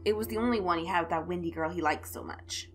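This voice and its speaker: Expressive woman narrator over music. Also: fairly low pitch, fast. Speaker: fan fiction narrator. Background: background music.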